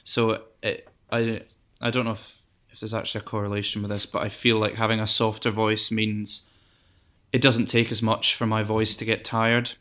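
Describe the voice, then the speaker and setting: Soft-voiced young man, flat and low. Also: moderate pace. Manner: relaxed, hesitant, many ums and restarts. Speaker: guest musician. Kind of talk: casual interview chat.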